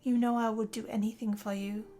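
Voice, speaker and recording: A woman's voice: fairly high pitch, flat delivery, fairly quick, mellow, close to an everyday voice. A woman voicing a sardonic but loyal vampire sister in a scripted drama, with a wry, blunt way of talking. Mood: wry, devoted.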